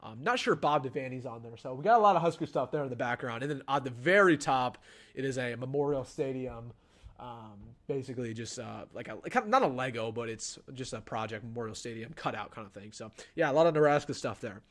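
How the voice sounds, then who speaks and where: A man's voice: medium pitch, fast. A young man, hosting a casual live-stream sports show and chatting through viewer questions. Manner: chatty, animated, answers chat questions. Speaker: sports channel host.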